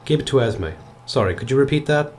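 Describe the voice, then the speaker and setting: A man's voice: medium pitch, lively intonation, noisy background, close to an everyday voice. A man playing a weary, strained mission commander in a science fiction audio drama, terse and authoritative. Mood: tense, controlled. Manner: terse, authoritative, halting when reflective.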